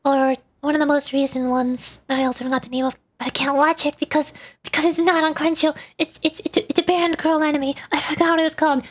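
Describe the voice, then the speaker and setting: A woman's voice: high voice, level tone, fast talker. A woman VTuber chatting casually to livestream viewers in a rambling, playful way, often repeating words. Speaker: VTuber streamer. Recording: background music. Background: music.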